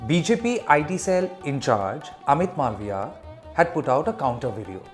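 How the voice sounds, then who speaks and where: A man from Kolkata hosting a news commentary, speaking in a measured, emphatic way. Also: on the high side, sing-song, soft background music. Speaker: host. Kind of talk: slow, deliberate commentary.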